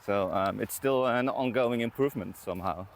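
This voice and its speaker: A man's voice: mid-range voice, brisk. A man hosting a casual interview while walking outdoors, putting his questions in a searching, conversational way. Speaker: podcast host interviewing. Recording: outdoor walk with clear background noise.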